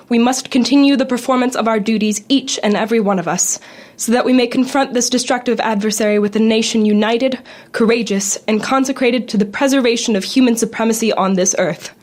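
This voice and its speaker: A woman's voice: mid-range voice, a little mellow, close to an everyday voice. A woman playing a government secretary giving a formal, solemn address to the nation in a radio drama. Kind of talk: 1930s radio drama acting.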